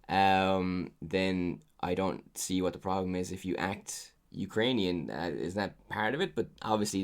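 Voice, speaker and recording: An Irish man with a very low, deep voice, chatting casually on a podcast. He rambles, circling his points with plenty of ums and uhs. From Ireland. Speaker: podcast co-host.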